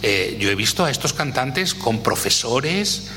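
A man speaking as an expert guest in an interview, explaining at length in an animated way and often tagging phrases with a questioning "eh". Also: mid-range voice, unhurried, soft background music. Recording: echoey hall with faint noise.

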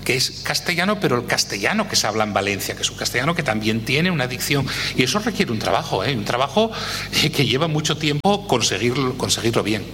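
A man speaking as an expert guest in an interview, explaining at length in an animated way and often tagging phrases with a questioning "eh". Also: mid-range voice, fast. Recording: echoey hall with faint noise.

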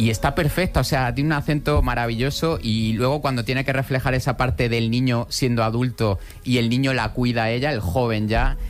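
A man working as a film critic on a radio show, talking conversationally about films. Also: mid-range voice.

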